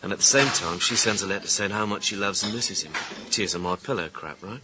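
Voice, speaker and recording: A British man acting as a junior police detective in a radio thriller, with a fairly low voice. He is blunt, wry and slangy, a cynical grumbler, with clear noise behind him. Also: a little fast, lightly acted. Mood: cynical, sceptical.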